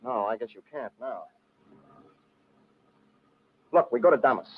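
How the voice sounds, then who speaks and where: An actor playing a brash, wisecracking American adventurer, slangy and clipped, in an old-time radio drama. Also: male voice, mid-range voice, expressive, a little slow, breathy edge. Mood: insistent. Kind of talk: old-time radio adventure acting with first-person narration. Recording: dull, hissy old radio recording.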